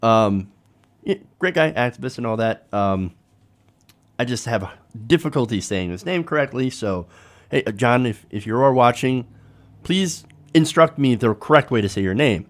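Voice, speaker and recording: A man's voice: mid-range voice, lively intonation, normal speed. A man hosting a political commentary show, talking informally to viewers in a conversational way with rhetorical tag questions.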